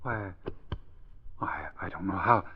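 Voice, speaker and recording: An actor playing an accused husband under questioning in a 1940s radio drama, weary, defensive and increasingly desperate. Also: male voice, sits low, slow pace, breathy edge, heavily acted. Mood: desperate, agitated. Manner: defensive, emphatic. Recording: dull old radio recording.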